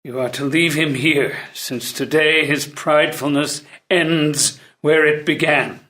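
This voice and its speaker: A man playing a stern, dutiful old royal tutor and jailer in a play reading, formal, measured and theatrical. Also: fairly high pitch, steady tone, pushed and bright. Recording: roomy, echoey live room.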